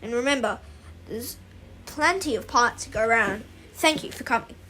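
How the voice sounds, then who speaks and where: A stern female schoolteacher from a children's storybook played by a woman. Her high voice is commanding and fierce, giving firm orders to pupils. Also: animated, moderate pace, slight background noise. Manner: loud, commanding.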